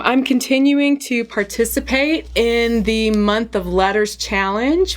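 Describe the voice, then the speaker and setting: A woman with a cold, her voice hoarse, chatting casually to camera about knitting and yarn, with frequent ums. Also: medium pitch. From United States. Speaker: knitting vlogger.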